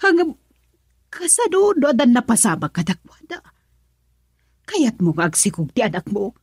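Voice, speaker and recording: A defensive mother in a radio drama, argumentative and exclamatory. Her voice is fairly high and husky, swinging widely in pitch as she quarrels. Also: sing-song, normal speed, darkish tone, no echo, studio quality, exaggerated voice. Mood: reasoning. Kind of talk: Ilocano radio drama acting.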